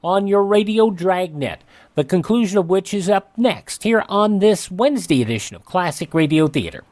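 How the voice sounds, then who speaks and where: A man's voice: sits high, expressive, normal speed, darkish tone, no room sound. A man with an American accent announcing a radio program from a script, as its host.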